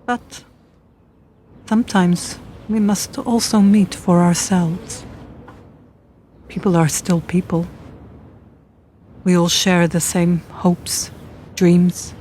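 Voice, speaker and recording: A woman playing a world-weary, seductive Eastern European spy in a radio play, speaking slowly and deliberately over background noise. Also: fairly low pitch, mellow.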